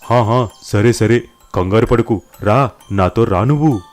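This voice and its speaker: కార్టూన్ నీతి కథలో నచ్చజెప్పే, అధికారంగా మాట్లాడే యజమాని పాత్రకు గొంతు ఇస్తున్న పురుషుడు. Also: male voice, fairly low pitch, a little mellow, faint music behind, close to an everyday voice. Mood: reassuring. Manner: brisk, authoritative, placating. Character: bossy, smooth-talking male employer and company manager. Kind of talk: animated moral story dialogue.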